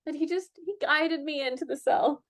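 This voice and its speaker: A woman reading a mystery novel aloud in an animated, theatrical way, breaking in with amused asides. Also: high voice, moderate pace. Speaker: reader of a children's mystery novel.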